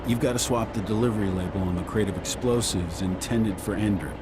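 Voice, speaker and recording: An actor playing an easygoing, streetwise heist planner, explaining things casually in a video game cutscene. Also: male voice, on the low side, fairly quick, lightly acted. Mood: confident briefing. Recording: loud outdoor vehicle noise.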